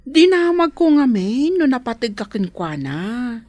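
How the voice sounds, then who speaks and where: Nosy teasing matchmaker lady, ilocano accent, highish voice swinging all over, radio drama. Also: very animated, measured pace, darkish tone, no room sound. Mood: coaxing, frank. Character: nosy, teasing household woman, frank and matchmaking.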